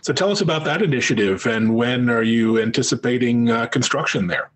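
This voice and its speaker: Male interviewer, medium pitch, conversational questioning style. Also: a little fast, fairly bright tone.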